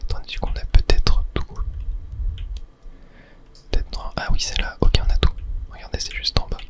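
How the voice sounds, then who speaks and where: A person whispering ASMR commentary over a video game on a close mic, thinking aloud hesitantly and correcting themselves as they go. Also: moderate pace. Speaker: gaming video host.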